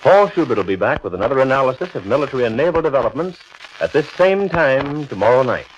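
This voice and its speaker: A man's voice: mid-range voice, sing-song, fast. Muffled vintage radio commercial announcer, a man. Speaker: radio announcer reading sponsor message. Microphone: radio studio microphone. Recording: noisy, muffled 1940s radio recording.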